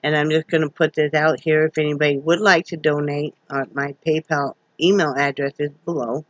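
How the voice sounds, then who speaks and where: A woman's voice: low-pitched, fairly even intonation, a little fast, no room sound. A middle-aged woman from Wyoming, wheezy with a sore throat, chatting in a folksy, rambling way with many fillers in her front room at home. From Wyoming, USA. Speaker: vlogger. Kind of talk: casual home vlog chat. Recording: dull lo-fi home recording.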